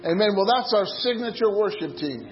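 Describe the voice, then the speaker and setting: A woman's voice: medium pitch, expressive, fairly quick, slight background noise. A woman leading a church service, speaking in fervent, declamatory phrases of praise and exhortation to the congregation. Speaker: church worship and service leader. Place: church hall during a live service. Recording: muffled live church sound with loud music. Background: loud worship music.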